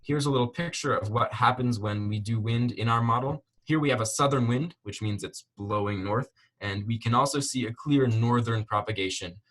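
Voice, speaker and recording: A young man giving a technical project presentation as a student, explaining in a slightly halting way. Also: mid-range voice, a bit bright.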